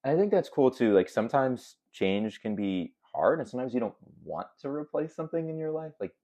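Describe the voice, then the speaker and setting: A man's voice: mid-range voice, sing-song, a little fast, mellow. A young man who is a podcast guest, talking casually in a reflective, rambling way full of fillers. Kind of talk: casual conversation.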